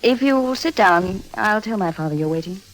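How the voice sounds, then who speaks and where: An actress playing a poised, guarded young heiress in a radio drama, speaking in a formal, cool and probing way. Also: female voice, mid-range voice, expressive, normal speed, lightly acted, rough recording. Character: poised, guarded young daughter of a rich tycoon. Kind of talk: 1950s radio drama acting.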